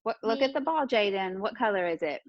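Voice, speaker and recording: A woman's voice: mid-range voice, lively intonation, fairly quick, dry recording. A woman teaches a lesson to young children in an encouraging, questioning manner, often repeating what they answer. The recording is dull and muffled, with very little room sound. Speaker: pre-kindergarten teacher.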